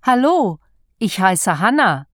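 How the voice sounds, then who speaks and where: A young woman reading scripted lines for a language listening exam with slow, careful articulation. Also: medium pitch, sing-song, no echo, studio quality. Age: 20s. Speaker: exam dialogue reader.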